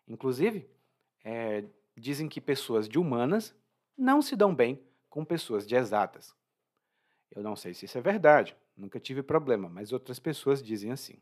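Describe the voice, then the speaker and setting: A man's voice: mid-range voice, normal speed. A Brazilian man with a Brazilian Portuguese accent, teaching Portuguese vocabulary in a chatty, informal way. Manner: lively, informal, chatty, laughs at own jokes. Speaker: language teacher. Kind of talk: explaining vocabulary from a story.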